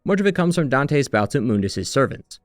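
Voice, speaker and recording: A man's voice: mid-range voice, sing-song, a little fast, dry recording, clean studio sound. A man giving a scripted narration for a video game retrospective.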